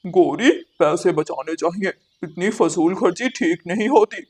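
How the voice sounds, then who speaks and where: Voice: medium pitch, animated, a little slow, a little mellow, breathy edge. A performer voicing a stingy, bossy male crow, a husband and father, in a moral-story cartoon, with a curt manner. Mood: dismissive.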